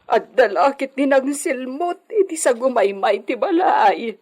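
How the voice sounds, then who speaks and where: A woman playing a worried village mother with a husky voice and an Ilocano accent in a radio drama. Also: high-pitched, lively intonation, a little slow, darkish tone.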